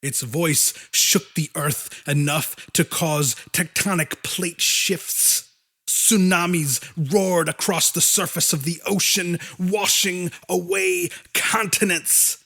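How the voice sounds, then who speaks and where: A man reading horror fiction as an audiobook narrator, in a deliberate, theatrical way. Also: sits high, fairly even intonation, creaky, sharp tone. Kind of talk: dramatic scripted narration of a horror story.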